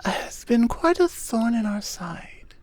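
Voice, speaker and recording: Woman acting as regal Amazon queen, ASMR audio roleplay, mellow soft voice, unhurried measured pacing, formal, gracious, guarded. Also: fairly high pitch, very animated, full character voice. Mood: grave, reflective. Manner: measured, deliberate, formal, with frequent pauses. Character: regal, centuries-old Amazon queen, gracious, curious, wary of outsiders. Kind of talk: ASMR roleplay audio drama, addressed to the listener.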